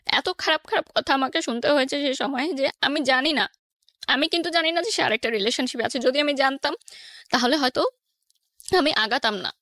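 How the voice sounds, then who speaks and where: Higher-pitched Bangladeshi woman telling her story. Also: vocal fry, fairly bright tone, dry recording, studio quality. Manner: code-mixes English words into speech. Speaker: guest telling own life story. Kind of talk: personal storytelling in a radio interview.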